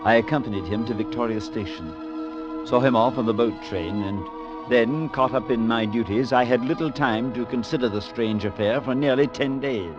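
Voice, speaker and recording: A man playing a calm, skeptical priest. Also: mid-range voice, normal speed, natural voice. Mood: solemn. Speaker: priest and narrator. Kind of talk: 1940s radio acting. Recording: dull old radio recording with music.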